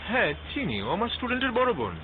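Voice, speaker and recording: Fed-up young bangladeshi guy in tv drama, defensive, fast talker, thin phone-like audio. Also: very high-pitched, wildly swooping pitch, exaggerated voice. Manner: exasperated, defensive, coaxing. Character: exasperated young tutor, defensive yet coaxing boyfriend. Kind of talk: TV drama acting. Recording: thin phone-like sound, faint noise.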